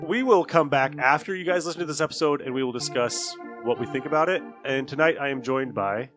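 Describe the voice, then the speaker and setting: A male podcast host with a mid-pitched voice introduces the show casually. Music plays clearly behind him. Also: fairly quick. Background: loud music.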